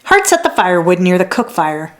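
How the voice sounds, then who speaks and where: A woman narrating a children's story as a read-aloud, in an expressive, deliberate storytelling manner. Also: lowish voice.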